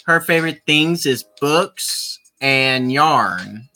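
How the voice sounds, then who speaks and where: Casual game streamer, sharp bright delivery, slow pace with repeated phrases, music underneath. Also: medium pitch, animated. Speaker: streamer playing a visual novel. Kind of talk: casual livestream commentary with read-aloud.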